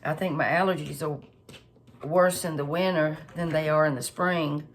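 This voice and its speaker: A woman, a little hoarse and stuffed up, chatting homily through a cooking tutorial for her viewers. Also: deep voice, steady tone, normal speed. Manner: homey, chatty, lots of fillers, addresses viewers directly. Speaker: home cook channel host.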